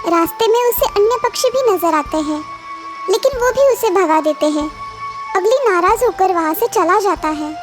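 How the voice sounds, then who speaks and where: Very high-voiced, animated woman storyteller. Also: normal speed, music in the background. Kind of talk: scripted children's story narration.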